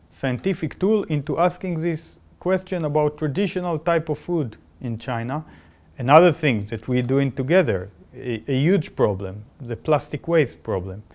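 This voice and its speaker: A man's voice: medium pitch, a little mellow. Israeli-accented man, academic podcast guest. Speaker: biotechnology professor. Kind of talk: conversational podcast discussion.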